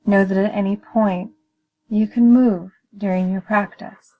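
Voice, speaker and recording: A woman leading a guided meditation in a calm, soothing, measured manner with gentle pauses. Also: mid-range voice, narrow, tinny audio.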